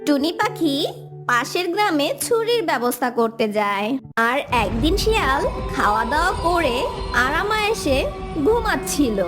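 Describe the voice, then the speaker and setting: A woman reading scripted narration as the storyteller of a children's animal tale. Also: high-pitched. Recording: music behind the voice.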